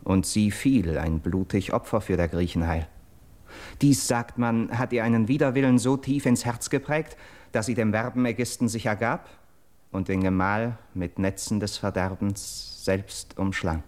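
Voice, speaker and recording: An actor playing an earnest Greek captive in a classical verse radio drama, declaiming solemnly and dramatically. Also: male voice, on the low side, normal speed, a little mellow, natural voice. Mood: solemn, horrified. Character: earnest Greek captive bearing grim news of murder.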